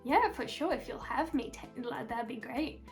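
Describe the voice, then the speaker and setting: Young woman, Australian accent, casual interview chat, upbeat, fairly high voice, faint music behind. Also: animated, normal speed. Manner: chatty, upbeat, frequent "like" and "kind of". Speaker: singer and interview guest.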